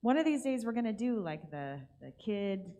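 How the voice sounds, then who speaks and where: A woman leading worship in a relaxed, rambling chat, her voice a little raspy. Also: medium pitch, moderate pace. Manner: relaxed, rambling, with many fillers. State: slightly raspy voice. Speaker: worship leader. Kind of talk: casual chat at a church livestream.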